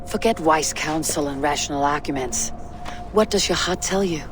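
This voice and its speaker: A woman playing a stern, level-headed Viking warrior in a video game, speaking in a grave, measured, commanding way. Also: low-pitched, noisy background, lightly acted. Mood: solemn, searching. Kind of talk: dramatic video game acting.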